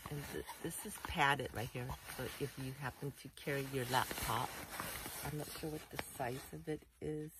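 A woman's voice: a bass voice, fairly even intonation, normal speed. Middle-aged woman yakking about her new bag, lots of "you know", noisy home recording. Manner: chatty, rambling, many fillers like "you know". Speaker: vlogger reviewing a backpack. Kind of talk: casual unboxing show-and-tell. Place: indoor room full of collectibles.

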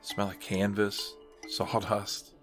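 Man as a low, wry American hero. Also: completely flat delivery, slow. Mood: brooding. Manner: dry, sardonic, terse. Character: world-weary, wry American crime-fighting hero narrating his caper. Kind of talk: noir-like comic narration. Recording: music behind the voice.

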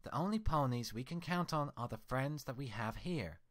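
A man's voice: medium pitch, expressive, normal speed, a bit bright, voice pushed up, natural voice. A man voicing a furious young female unicorn scholar who scolds indignantly. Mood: exasperated.

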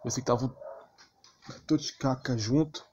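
A man's voice: mid-range voice, measured pace, darkish tone. A man with a Brazilian accent giving casual, slangy, grumbling commentary on his own gameplay, with some swearing. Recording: dull lo-fi mic with clear background noise.

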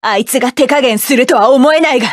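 An actress voicing a proud, tough woman mech pilot in a game, with sharp, self-assured combat lines. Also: high-pitched, fast, dry recording, studio quality. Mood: battle-ready. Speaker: game character.

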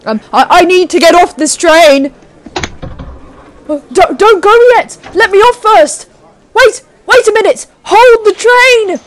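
A woman playing a flustered, polite British gentleman in his thirties in a radio play, stammering and apologetic. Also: very high-pitched, a little slow, slight background noise. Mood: frantic. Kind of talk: 1930s-set radio play acting.

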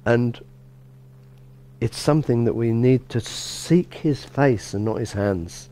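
A middle-aged man, a bishop, gives a relaxed sermon in a small church, talking conversationally with frequent restarts and "you know". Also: medium pitch, slow. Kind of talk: informal sermon.

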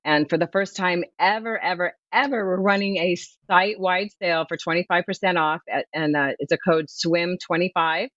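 Woman, 40s, lively guest, video call audio, muffled, dry. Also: lowish voice, normal speed, hardly any pauses, dry recording. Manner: lively, chatty, laughs easily. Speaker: swimwear brand founder, guest. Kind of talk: promotional interview chat. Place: home room, remote video call.

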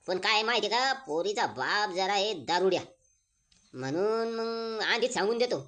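A man's voice: high voice, expressive, normal speed, a bit bright. A man with a rural Maharashtrian accent playing an eager, plain-spoken village matchmaker in a comic cartoon, persuasive and full of folksy exclamations. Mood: eager selling.

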